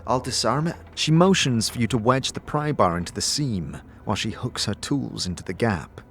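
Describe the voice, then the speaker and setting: A man giving measured, descriptive, dramatic narration as the storyteller of a scripted fantasy audio drama. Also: lowish voice, lively intonation, slight background noise. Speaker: narrator and game master.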